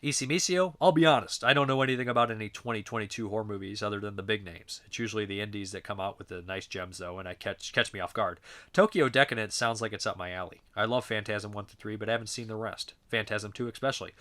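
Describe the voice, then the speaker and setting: A man hosting a casual review podcast, reading out listener comments, slightly hoarse and animated when joking. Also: lowish voice, quick pace. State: slightly hoarse, losing his voice. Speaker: podcast host.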